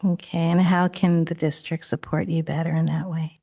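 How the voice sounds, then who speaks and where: A woman raised in Daly City whose first language was not English, speaking as a school board trustee at a meeting, with a halting delivery full of fillers and restarts. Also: low voice, flat delivery, creaky, warm tone. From Daly City, California. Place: district office board room.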